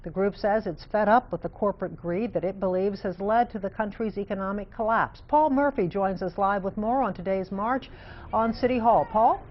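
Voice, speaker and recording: Female newscast anchor, medium-pitched voice, slightly dull broadcast sound. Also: normal speed, slight background noise. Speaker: news anchor. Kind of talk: scripted newscast introduction.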